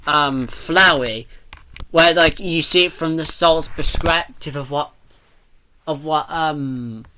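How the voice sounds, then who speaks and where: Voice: mid-range voice, slow, bright, forceful tone, a little hiss or hum, rough recording. A person giving casual, rambling reaction commentary, thinking aloud and speculating as the host.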